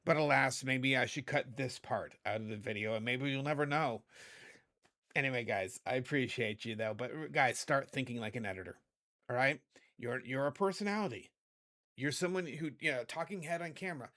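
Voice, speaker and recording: A middle-aged man with a hoarse voice hosting a casual video editing tutorial, chatty and rambling, often correcting himself. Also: medium pitch, a little fast, pushed and bright. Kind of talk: casual screen-recorded tutorial.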